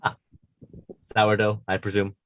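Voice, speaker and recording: A man's voice: on the low side, completely flat delivery, measured pace, fairly bright tone, dry recording. A man playing a sheepish, dreamy sandwich maker in a comedy table read, understated, on a noisy microphone.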